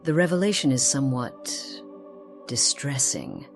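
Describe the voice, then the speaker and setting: A woman plays an ageless noblewoman from a fantasy game, with a low female voice and a very slow, measured delivery. She is formal and secretive, wistful yet sly, and leaves theatrical pauses. Faint music sits behind her. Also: huge pitch swings, full character voice. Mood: mournful, wistful. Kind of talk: scripted game character dialogue.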